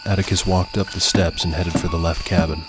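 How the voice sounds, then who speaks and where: A man's voice: low-pitched, flat delivery, fairly quick. A man reading scripted fiction as a dramatic audiobook narrator. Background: music.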